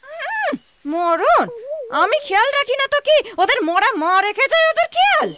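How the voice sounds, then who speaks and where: A very shrill, spiteful stepmother. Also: sing-song, moderate pace, noisy background. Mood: resentful. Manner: stammering, repeating words in feigned concern. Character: spiteful, scheming stepmother of two boys. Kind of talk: cartoon story voice acting. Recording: music behind the voice.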